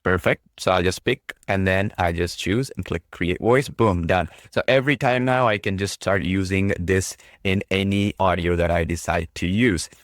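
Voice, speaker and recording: A man casually walking through a software demo, upbeat and breezy. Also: normal speed, hardly any pauses, no echo, studio quality. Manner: upbeat, breezy, says "boom" often. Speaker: product demo host. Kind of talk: casual screen-recorded walkthrough.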